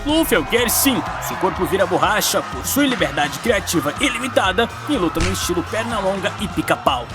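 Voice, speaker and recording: Hot-headed anime teen boy, male actor, high-pitched, brash, very animated delivery over music. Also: fairly quick, few pauses. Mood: hyped. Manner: brash, emphatic, impatient, announcer-like shouts. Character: hot-tempered, foul-mouthed teenage anime boy debating fights. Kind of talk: animated fan debate, dubbed cartoon acting.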